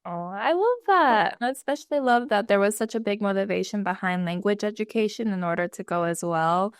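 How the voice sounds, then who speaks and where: A young woman studying at a community college, leading a casual panel interview and warmly affirming each answer. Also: medium pitch, expressive, fairly quick, clear, resonant tone, dry recording, clean studio sound. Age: young adult. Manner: warm, affirming, upbeat. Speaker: student interviewer.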